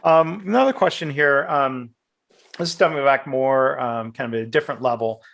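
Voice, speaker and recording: A man's voice: medium pitch, normal speed, creaky. A man hosting a webinar, chatting casually and passing on audience questions. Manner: relaxed, brief backchannels, reads audience questions. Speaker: webinar host. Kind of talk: webinar interview.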